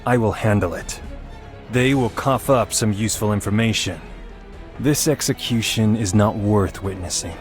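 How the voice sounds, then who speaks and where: A man voicing a grave, ominous hunter warrior in a video game, speaking in a solemn, measured, formal way. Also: on the low side. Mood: cold, menacing. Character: grave, ominous male abyssal hunter warrior, distrustful of land dwellers. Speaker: voice actor for a video game character. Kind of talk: scripted video game character lines.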